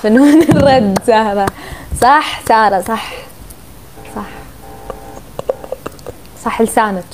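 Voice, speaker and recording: A chatty woman calls into a live stream and greets everyone cheerfully. Her voice is high. Heavy noise fills the call and nearly covers her. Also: takes it slowly. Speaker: guest calling into a live stream. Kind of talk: casual chat.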